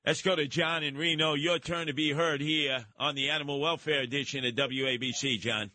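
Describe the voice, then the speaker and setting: A man with a forceful, bright New York voice, recorded close and dry. He hosts a live radio call-in show, rambling along with theatrical emphasis. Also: fairly high pitch, fairly even intonation, a little fast, dry recording. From New York City. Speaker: radio talk show host.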